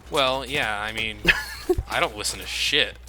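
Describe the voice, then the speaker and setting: A man's voice: lowish voice, a little slow, over loud music, rough recording. A man giving casual, sardonic video game commentary with some swearing.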